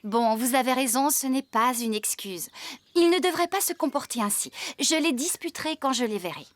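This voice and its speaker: A woman voicing a kind, responsible farmer in a French-dubbed preschool cartoon, cheerful and expressive. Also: high voice, moderate pace, no echo. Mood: conciliatory. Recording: loud music underneath.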